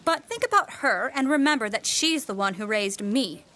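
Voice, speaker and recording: Woman acting posh-girl heiress in an audio drama, kinda high and sharp, composed, polite but steely and threatening. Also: expressive. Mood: quietly threatening. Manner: firm, measured, polite.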